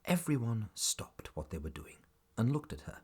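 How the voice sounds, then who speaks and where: A man's voice: mid-range voice, very animated, normal speed, a little mellow, breathy edge, exaggerated voice. A man narrating a family audio drama as a scripted storybook tale, dramatic and whimsical.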